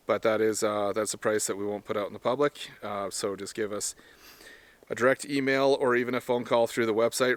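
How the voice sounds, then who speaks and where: A man with a hoarse voice from smoke, talking casually to camera about gear he sells, rambling and correcting himself as he goes. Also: lowish voice, no room sound. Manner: rambling, self-correcting, plain-spoken. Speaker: firearms gear seller and trainer. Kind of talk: casual product talk to camera.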